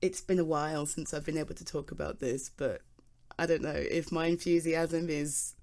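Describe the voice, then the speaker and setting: A woman's voice: low voice, steady tone, moderate pace, a little mellow, lightly acted. A British woman playing an enthusiastic, self-deprecating geology nerd in an ASMR roleplay, rambling hesitantly as if chatting to a listener. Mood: bashful, amused. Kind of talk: ASMR voice roleplay, improvised one-sided conversation.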